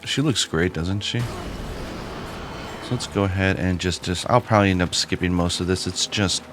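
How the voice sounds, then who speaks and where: Raspy low-voiced man commenting on gameplay. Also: normal speed, background noise. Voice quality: raspy, deep morning voice. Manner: chatty, with frequent ums and uhs. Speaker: let's play host.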